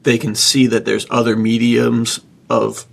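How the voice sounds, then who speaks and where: A man with a husky, gravelly voice, hosting a casual podcast discussion in an encouraging, opinionated manner. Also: mid-range voice, monotone, a little slow. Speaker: podcast host.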